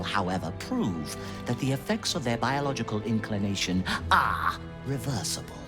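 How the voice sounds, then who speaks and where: Brief: heartless game scientist; clipped, lecturing, swooping delivery. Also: male voice, medium pitch. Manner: precise, detached, lecturing. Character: cold Underdark scholar, callous and clinical. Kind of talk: video game voice acting.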